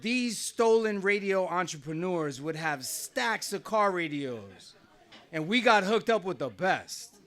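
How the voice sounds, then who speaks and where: A man's voice: high voice, lively intonation, measured pace, a bit bright. A middle-aged man from New Jersey telling a wry, conversational personal story on stage at a small live venue, often laughing as he talks. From New Jersey, USA.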